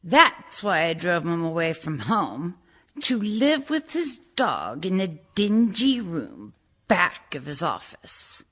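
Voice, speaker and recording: Woman acting a slow, bitter, refined wife. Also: fairly low pitch, very animated, a bit bright, full character voice. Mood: bitter disgust. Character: disgusted, refined, resentful married woman. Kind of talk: dramatic monologue for radio play.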